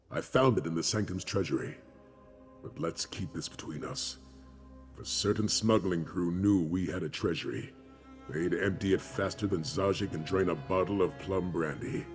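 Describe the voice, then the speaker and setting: An actor playing a grave, wise dragon-hunter commander in a video game, speaking in a measured, solemn, formal way. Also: male voice, deep voice. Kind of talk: video game dialogue acting. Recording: music behind the voice.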